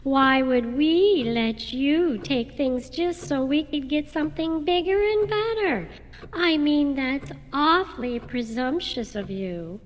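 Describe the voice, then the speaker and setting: A woman's voice: high voice, lively intonation, takes it slowly. A woman playing an indignant, scheming wife in a comic radio play, with theatrical, emphatic delivery. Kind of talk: amateur radio comedy drama acting. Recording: long hall-like reverb.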